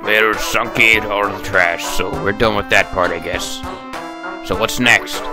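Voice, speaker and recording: A man's voice: on the low side, fairly even intonation, a little slow, music in the background. A man voicing a grumpy, sarcastic middle-aged cartoon villain in a comic dub. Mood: weary.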